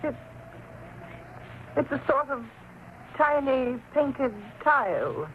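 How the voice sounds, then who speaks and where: A woman's voice: high voice, very animated, takes it slowly, heavily acted. Anxious, evasive mother, wildly swooping dramatic delivery, phone-thin old radio sound. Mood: alarmed. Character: anxious, protective, evasive middle-aged mother. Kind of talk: old-time radio horror drama acting. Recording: tinny old radio recording, faint noise.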